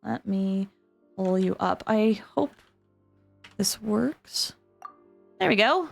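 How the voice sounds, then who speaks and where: A woman hosting a livestream, chatting casually with viewers in an expressive, emphatic way. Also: mid-range voice, slow, creaky, soft background music. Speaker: livestream host.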